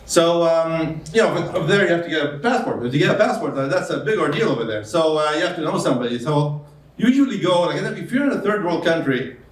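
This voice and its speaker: A male comedian with a foreign accent telling deadpan stories on stage, hesitating often with fillers. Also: on the high side, quick pace. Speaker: stand-up comedian. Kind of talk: stand-up comedy set. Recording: roomy club sound from a distance. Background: audience laughter.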